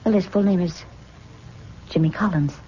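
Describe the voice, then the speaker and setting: A woman's voice: lowish voice, normal speed, mellow, lightly acted. An actress playing an uneasy, placating young woman in an old-time radio drama, emotive and hesitant on a muffled, noisy old recording. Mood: defensive.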